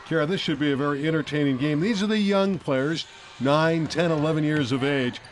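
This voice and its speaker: Male play-by-play announcer, upbeat, slightly high voice, faint arena noise. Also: fairly quick. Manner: upbeat, hyping the game. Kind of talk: sports commentary.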